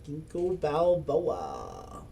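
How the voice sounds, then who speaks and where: Man musing slowly through a board game. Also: fairly high pitch, wildly swooping pitch, creaky, slight background noise. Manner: thinks aloud, chuckling, self-mocking asides; speaks as a loud, projecting voice. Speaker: board game channel host. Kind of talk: casual solo playthrough commentary.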